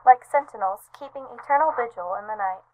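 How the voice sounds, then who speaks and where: A woman's voice: a little high, fairly quick, dry recording, phone-quality sound, close to an everyday voice. A woman playing a terse, instructing prompter voice from a tiny transistor gadget in an old-time radio drama. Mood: terse coaching.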